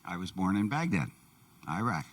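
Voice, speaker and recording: A man's voice: sits low, sing-song, moderate pace, distant mic. A man interpreting for a deaf guest, voicing his answers in plain, brief sentences. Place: church sanctuary.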